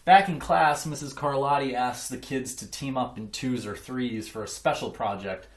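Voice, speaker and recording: A man reading a children's picture book aloud in an expressive, emphatic way, like a teacher. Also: mid-range voice, normal speed. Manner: emphatic, acts out dialogue. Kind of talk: expressive children's storybook narration.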